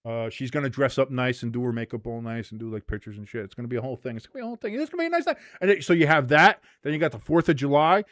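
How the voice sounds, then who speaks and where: A man hosting a casual watch-along, joking, swearing and shouting at big plays. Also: medium pitch, rapid-fire, few pauses, no room sound. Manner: excitable, profane, jokey, shouts at big plays. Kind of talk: casual live match commentary.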